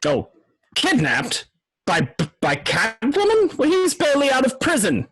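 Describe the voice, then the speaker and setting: A man's voice: very high-pitched, wildly swooping pitch, unhurried, a bit bright, voice pushed up, full character voice. A man putting on a flustered fussy warden. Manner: flustered, exclaiming. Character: fussy middle-aged male prison warden. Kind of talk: amateur home radio play.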